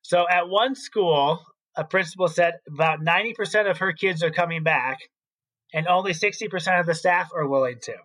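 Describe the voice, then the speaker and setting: A man's voice: high-pitched, moderate pace, a bit bright, rough recording. A man co-hosting an education podcast as a school principal, explaining his opinions in casual talk.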